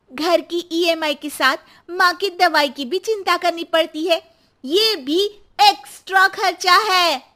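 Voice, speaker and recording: A woman voicing a sharp-tongued, complaining young daughter-in-law in a cartoon family story. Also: very high-pitched, heavily acted. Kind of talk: dramatised Hindi moral cartoon story.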